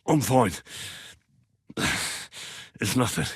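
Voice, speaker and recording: An earnest young medieval commoner for a video game. His voice is husky and swings expressively, with a cheeky, dogged, bantering way of speaking. The recording is close and dry. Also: medium pitch, expressive, drawn-out words, no echo, heavily acted. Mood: sceptical. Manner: colloquial, questioning, bantering. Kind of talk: video game character dialogue.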